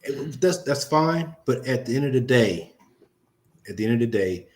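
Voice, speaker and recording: A man's voice: mid-range voice, lively intonation, moderate pace, a little mellow. A man on a podcast firmly repeating his points in a casual debate. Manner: firm, repeats key points.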